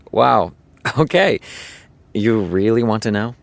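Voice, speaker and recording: An actor playing a candid, wry TV showrunner, talking conversationally and pausing for effect in a naturalistic audio drama. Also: male voice, lowish voice, vocal fry, no room sound, full character voice. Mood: wry, frank. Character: candid male TV showrunner, wry and self-deprecating. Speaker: television writer character.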